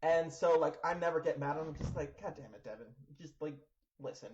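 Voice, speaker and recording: A man's voice: on the high side, fast, a little mellow, slight background noise. A man in his thirties in casual chat, blunt, joking and swearing freely. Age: 30s. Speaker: wrestler, podcast co-host. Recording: dull lo-fi sound.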